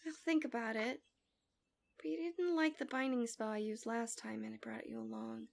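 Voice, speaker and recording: Woman acting as fantasy villain captor, female, slightly high voice, soft, breathy, half-whispered, coaxing, doting, close-miked ASMR roleplay. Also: steady tone, moderate pace. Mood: coaxing, softly probing. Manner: soothing, doting, teasing.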